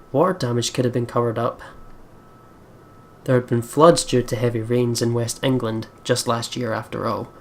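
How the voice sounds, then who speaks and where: A man hosting a let's play, reading a visual novel aloud and adding chatty, playful asides to viewers. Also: medium pitch.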